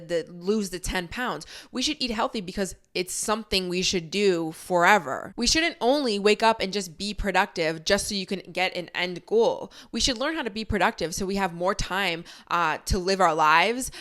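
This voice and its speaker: A woman's voice: medium pitch, a bit bright. A young woman in her twenties hosting a podcast, giving a candid, casual motivational pep talk full of rhetorical questions and the odd swear word. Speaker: podcast host.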